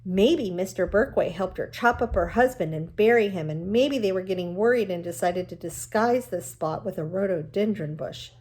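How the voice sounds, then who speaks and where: A woman's voice: medium pitch, moderate pace, few pauses, a little mellow, slightly rough, natural voice. A woman reading a storybook part as a stubborn, suspicious young teenage girl who talks like an insistent know-it-all.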